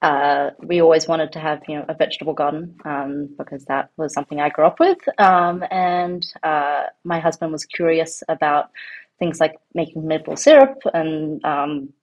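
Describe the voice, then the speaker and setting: A woman's voice: low voice, steady tone, normal speed, muffled recording. An Australian woman in her thirties, talking casually as an interview guest, rambling with many ums and restarts. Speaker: guest, home farmer.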